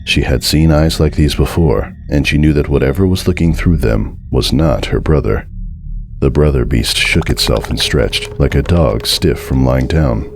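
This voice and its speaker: A man giving a dramatic, scripted narration of a horror story in a measured, deliberate and suspenseful way. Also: extremely low pitch, vocal fry.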